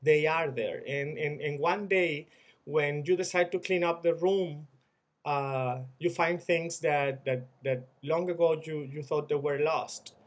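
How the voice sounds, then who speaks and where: Venezuelan man, 30s, fairly high voice; reflective video message, earnest, restarts often. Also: fairly even intonation, unhurried. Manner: earnest, reflective, with frequent repeated words and restarts. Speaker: former student-organization leader sharing experience.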